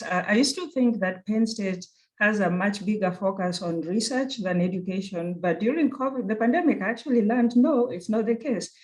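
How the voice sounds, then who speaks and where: An earnest speaker with a Kenyan accent presents at an online conference in a mid-range voice. The delivery is reflective and unhurried, often circling back to rephrase a point. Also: medium pitch, a little mellow, poor audio. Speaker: conference speaker, university program director.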